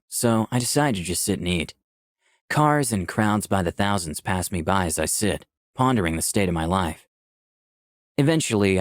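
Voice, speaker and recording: Easygoing young hero, very animated. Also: male voice, deep voice, dry recording. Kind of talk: scripted first-person narration and dialogue. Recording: dry, faint music behind.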